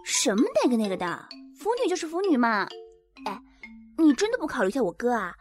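一名女配音演员扮演活泼爱八卦的年轻女孩，语气俏皮。. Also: female voice, on the high side, very animated, brisk, faint music behind. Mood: bubbly. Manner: bubbly, teasing. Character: lively young girl, nosy and cheeky.

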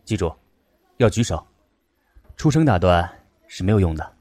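A man playing a calm young male law professor with a composed, measured, gently authoritative manner in a radio drama. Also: sits low. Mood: composed authority. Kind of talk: Chinese campus radio drama acting.

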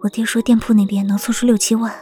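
A woman playing a gentle, caring young wife in a Chinese period audio drama. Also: a little high, level tone, fast talker, natural voice. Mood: worried. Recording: music behind the voice.

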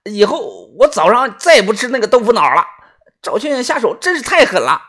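A narrator doing a jittery, high, whiny sidekick. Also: sing-song, fairly quick, a bit bright. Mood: disgusted. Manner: whiny, stammering, repeating words. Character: jittery, crude, cowardly young male sidekick.

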